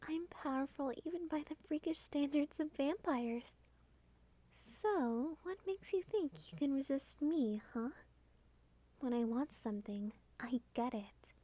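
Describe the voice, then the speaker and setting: A woman playing a starved, doting yet domineering female vampire in an intimate ASMR roleplay, teasing and often slipping into breathy whispers. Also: high-pitched, animated, measured pace, warm tone. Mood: domineering, menacing. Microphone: close studio microphone.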